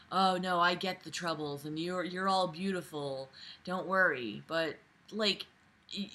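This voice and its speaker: A woman's voice: sits low, pushed and bright. A woman in her twenties reading aloud and breaking off for sarcastic, exasperated, profane commentary. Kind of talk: mocking read-aloud with casual profane commentary. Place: small bedroom, lying on a bed indoors.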